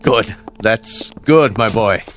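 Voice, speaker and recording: Grave, deliberate American scientist. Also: male voice, lowish voice, fairly bright tone. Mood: candid, fatherly. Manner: deliberate, formal, emphatic. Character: grave, paternal male space-program scientist, precise and candid. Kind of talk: radio drama acting. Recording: music behind the voice.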